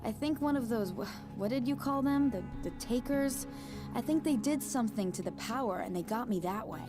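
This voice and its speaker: A woman's voice: fairly high pitch, moderate pace, natural voice. An actress playing a tough, wary female survivor in a thriller game, dry, sardonic and conversational. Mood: wry, wary. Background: music.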